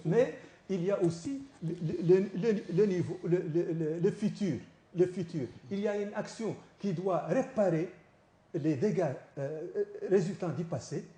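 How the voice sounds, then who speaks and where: Halting professor in an echoey studio. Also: male voice, high voice, dark tone. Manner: halting, many repeated restarts. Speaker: professor and debate guest. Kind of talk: televised panel debate.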